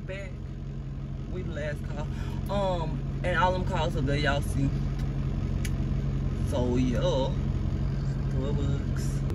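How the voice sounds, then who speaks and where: A young woman, chatting to viewers in a casual phone vlog about her food and her day. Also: fairly low pitch. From Florida, US. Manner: chatty, talks straight to viewers as y'all. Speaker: vlogger. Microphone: phone camera held at arm's length. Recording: noisy phone audio.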